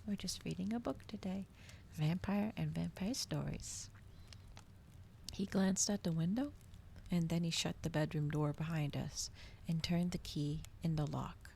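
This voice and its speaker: A soft-spoken woman reading a story and chatting to viewers in a cozy ASMR style, often slipping toward a whisper, close to the microphone. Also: low-pitched, a little slow, creaky, slight background noise. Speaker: streamer reading aloud to viewers.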